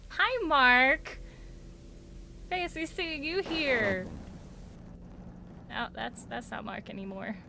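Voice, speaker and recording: A woman's voice: sits high, takes it slowly, creaky, pauses often, background noise. A woman reacting on a livestream in a casual, playful way, talking back to the video she watches. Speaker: streamer reacting to a horror series.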